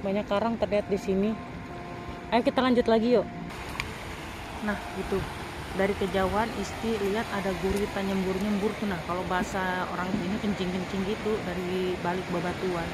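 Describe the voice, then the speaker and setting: A woman's voice: mid-range voice, little pitch movement, fairly quick, background noise. A woman narrating her own foraging vlog as a voice-over, chatting with viewers as friends. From Indonesia. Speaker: vlog host. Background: background music.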